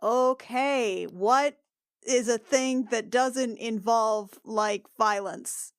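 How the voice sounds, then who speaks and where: A woman playing a tabletop roleplaying game, improvising dialogue in a casual, halting, informal way. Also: on the high side, no room sound, clean studio sound.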